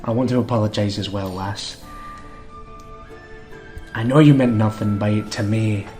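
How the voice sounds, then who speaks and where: An actor playing a devout, hot-headed but warm priest-warrior with an in a fantasy audio drama. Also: male voice, on the low side, steady tone, normal speed, natural voice. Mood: apologetic, gentle. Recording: music behind the voice.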